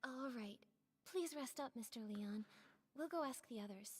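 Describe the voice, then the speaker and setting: A woman voicing a gentle, polite young girl heroine in a video game, soft and earnest. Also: a little high, expressive, moderate pace, husky, soft background music. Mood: polite concern. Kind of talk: game voice acting.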